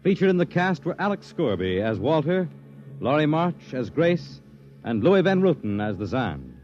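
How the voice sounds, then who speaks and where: A man narrating as a 1950s radio announcer in a dramatic, deliberate manner. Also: fairly high pitch, very animated, darkish tone. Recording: dull vintage radio recording with music. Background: music.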